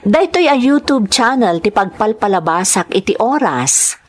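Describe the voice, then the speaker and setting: A woman's voice: medium pitch, animated, moderate pace. A woman with an Ilocano accent hosting and narrating, reading greetings and a listener's letter aloud. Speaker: host and narrator of a drama channel.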